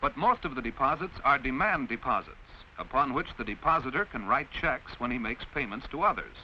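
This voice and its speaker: A man's voice: medium pitch, expressive. Dull, hissy vintage film narrator, American man. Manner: measured, explanatory, clearly enunciated. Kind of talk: 1940s instructional film narration.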